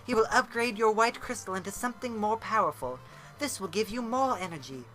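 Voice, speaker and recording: A storyteller voicing a beautiful, fading woman who gives grave warnings in a halting, solemn manner. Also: mid-range voice, lively intonation, pushed and bright, faint music behind, pitched above the performer's own voice, low-quality recording. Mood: faint, urgent. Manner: halting, solemn, drawn-out delivery.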